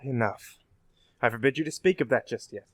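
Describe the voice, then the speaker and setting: A man's voice: medium pitch, a little fast, no room sound, natural voice. A man acting a kindly, avuncular older professor in an old-time radio drama style. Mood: reassuring, hushed. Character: kindly, fatherly older male professor, soothing mentor.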